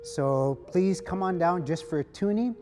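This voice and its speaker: Man, slightly high voice, promotional talk to camera, clear music bed behind. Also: unhurried. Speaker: artist and art festival organizer. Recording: reverberant hall, music bed underneath.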